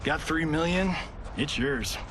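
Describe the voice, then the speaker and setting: A man's voice: a little high, takes it slowly, background noise. A male actor voicing a cocky Hollywood star turned fighter in a video game, with an American accent and a glib, flippant delivery. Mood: smug, teasing. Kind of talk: video game cutscene acting. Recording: loud music behind.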